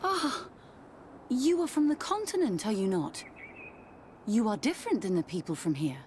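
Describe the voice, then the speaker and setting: An actress playing an uneasy, pious nun with a formal, wary manner in a video game. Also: female voice, medium pitch, huge pitch swings, normal speed, a little mellow, slight background noise. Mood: surprised. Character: uneasy, pious investigating nun, adult woman. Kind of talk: video game cutscene acting.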